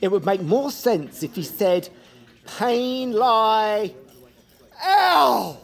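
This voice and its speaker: A woman's voice: fairly high pitch, expressive, extremely slow, creaky, exaggerated voice. A woman voicing a simple, stubborn, hammy amateur actor with plain folksy speech in an audio cartoon. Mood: casually stubborn. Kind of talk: audio fiction cartoon acting.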